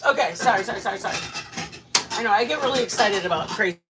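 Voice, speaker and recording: A woman hosting a casual live cooking stream from her home kitchen, excitable, rambling and quick to giggle. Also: mid-range voice, noisy background.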